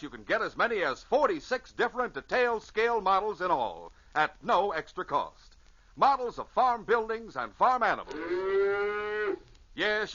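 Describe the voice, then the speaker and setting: A man's voice: high-pitched, sharp tone. An American man announcing and narrating in an emphatic, declamatory old-time radio style, reading a dramatic script. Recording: dull vintage radio recording, faint hiss.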